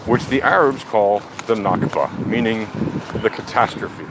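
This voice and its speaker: A man giving opinionated, off-the-cuff political commentary in a deliberate, emphatic, argumentative manner. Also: on the low side. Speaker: speaker of a political monologue. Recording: loud outdoor traffic or engine noise.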